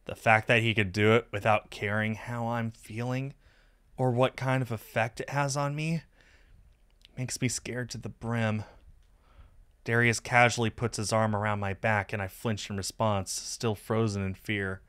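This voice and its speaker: A man reading a visual novel aloud as expressive first-person narration on a stream. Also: fairly low pitch, unhurried, smooth.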